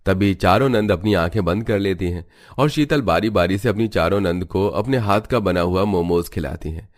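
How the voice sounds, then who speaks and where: Low-voiced man narrating a cartoon story. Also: moderate pace, no echo, clean studio sound.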